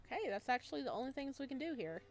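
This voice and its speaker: A woman's voice: high-pitched, sing-song, racing. A woman hosting a gaming video, giving casual, chatty, giggly let's play commentary. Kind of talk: let's play commentary and reading game text aloud.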